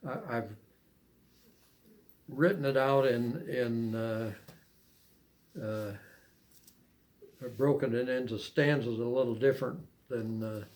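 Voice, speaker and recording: An older man, an amateur poet, speaks in an unhurried, halting way as he reads verse and reminisces. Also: mid-range voice, a little mellow. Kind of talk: poetry reading and casual reminiscing.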